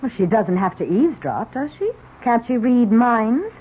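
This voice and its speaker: An actress playing a bitter, jealous wife in a 1970s radio drama, sarcastic and accusatory. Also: female voice, a little high, sing-song, moderate pace, slightly rough. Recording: muffled, hissy old radio broadcast.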